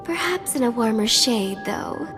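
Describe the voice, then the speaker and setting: A woman's voice: on the high side, lively intonation, measured pace. A woman playing a flirty, sensitive hologram receptionist in a video game, chatty and gushing with a sassy edge. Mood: perky, gushing. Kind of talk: cinematic video game dialogue. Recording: hall reverb, faint music behind.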